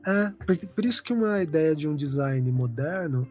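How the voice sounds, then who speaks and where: A man's voice: a little high, lively intonation, moderate pace, soft background music. A man talking as a designer guest in a casual podcast chat, explaining at length with plenty of fillers and restarts. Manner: loose, rambling explanation with many fillers.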